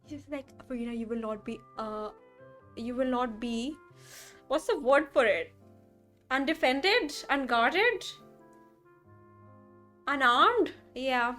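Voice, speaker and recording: High-voiced excitable young woman streamer. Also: slow, pauses often, faint music behind. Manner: excitable, gushing fan, slangy. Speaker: streamer reacting to a game. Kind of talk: casual live reaction commentary.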